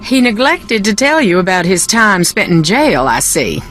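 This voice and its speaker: A woman's voice: mid-range voice, big pitch swings, normal speed, fairly bright tone, faint music behind. An actress playing a guarded, proud young woman in a video game, with a dry, pointed way of talking. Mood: wary, cutting. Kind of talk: video game character dialogue.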